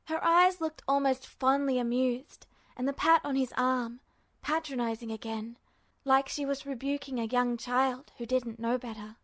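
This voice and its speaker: A woman reading fiction aloud as a scripted story narrator, measured, with dramatic pauses. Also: sits high, steady tone, a bit bright. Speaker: amateur audiobook reader.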